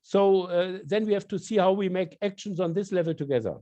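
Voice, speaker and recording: A man with a German accent hosting an online meeting, talking haltingly over a video call. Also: high voice, dark tone, lo-fi sound. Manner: halting, repeats words, non-native phrasing. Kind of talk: casual video call discussion.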